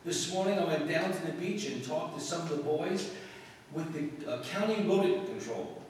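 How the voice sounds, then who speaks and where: Man acting a breezy newsman, higher voice, distant hall sound, wry, old-time radio style. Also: normal speed, darkish tone, lightly acted. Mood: jaunty, wry. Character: breezy, wisecracking male newspaper reporter. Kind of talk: 1940s radio drama acting. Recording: echoey hall, distant mic, faint noise.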